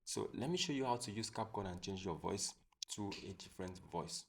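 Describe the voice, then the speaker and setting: A man hosting a software tutorial, explaining step by step in a plain, repetitive way. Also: sits low, lively intonation, a little fast. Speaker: tutorial host. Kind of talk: screen-recorded software tutorial.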